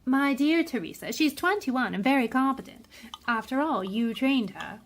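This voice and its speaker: A woman's voice: high-pitched, expressive, normal speed. A woman playing a breezy, bored wealthy society matron with a languid, teasing way, in a radio drama. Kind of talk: old-time radio drama acting.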